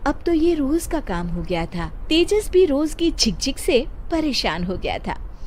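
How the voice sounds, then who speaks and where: A woman narrating a children's cartoon story in a calm, measured storytelling voice. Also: sits high, soft background music.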